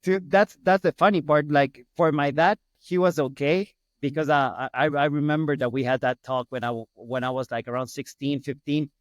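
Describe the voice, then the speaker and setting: A middle-aged man from Ecuador with a Spanish accent talks casually as a podcast guest. His voice is clean and smooth, in a dry recording, and he often repeats phrases to make a point. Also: fairly high pitch, steady tone, normal speed, hardly any pauses, no echo. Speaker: podcast guest, hospital business owner. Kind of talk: casual interview storytelling.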